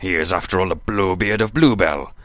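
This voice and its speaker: A man playing a gruff, pompous older man arguing combatively as defense in an amateur radio play. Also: low-pitched, fairly quick, fairly bright tone. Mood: accusing, triumphant.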